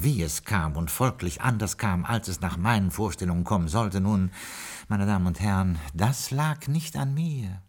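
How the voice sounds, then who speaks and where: Husky, pompous old-school butler. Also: male voice, low voice, heavily acted. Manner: formal, ornate, fussy, deferential. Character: dutiful, pompous British butler narrating his memoirs. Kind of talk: 1960s German radio play acting.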